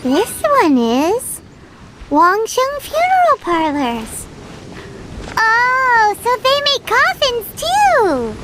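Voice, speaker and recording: An actress voicing a tiny, chirpy female fairy companion in a video game, excitable and full of exclamations. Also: very high voice, very slow, very noisy, heavily acted.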